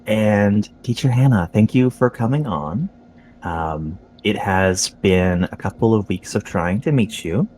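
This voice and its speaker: A young man hosting a podcast, relaxed and friendly as he interviews a guest. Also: lowish voice, measured pace, a little hiss or hum. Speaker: podcast host. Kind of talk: casual interview.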